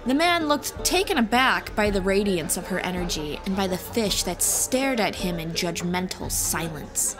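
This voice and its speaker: A woman's voice: mid-range voice, expressive, normal speed, few pauses. Woman narrating fantasy over music. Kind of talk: scripted fantasy audiobook narration. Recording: music playing behind.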